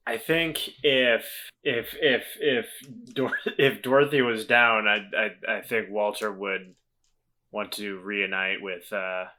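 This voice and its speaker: A man's voice: on the low side, fairly even intonation, slow, bright, forceful tone. A man playing a tabletop roleplaying game, speaking casually with hesitant, stammered repeats.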